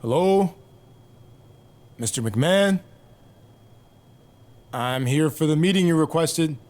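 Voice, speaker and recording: An actor playing a cocky young pro wrestler in a video game, talking in an offhand, mildly sarcastic way. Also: male voice, a little high, lively intonation, slow pace, darkish tone. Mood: puzzled, annoyed. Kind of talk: video game cutscene acting.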